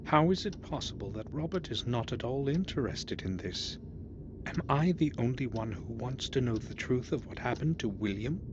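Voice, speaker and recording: A man's voice: mid-range voice, lively intonation, normal speed, natural voice. An actor playing an earnest, suspicious man with questions about his family castle, in a video game. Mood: insistent, suspicious. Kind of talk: scripted video game voice acting. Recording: muffled, very noisy game audio.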